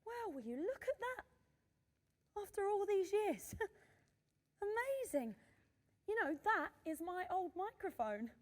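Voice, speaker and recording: A woman's voice: very high voice, big pitch swings, takes it slowly, long pauses, exaggerated voice. An actress playing a modest, cheeky old woman who was once a singer, chuckling and plain-spoken in a radio drama. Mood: delighted reminiscing. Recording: long hall-like reverb.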